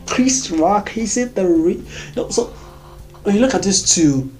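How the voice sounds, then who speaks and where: Excitable Nigerian-accented vlogger over faint music. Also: medium pitch, unhurried. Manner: excitable, chatty, laughs often, repeats words. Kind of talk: casual movie recommendations.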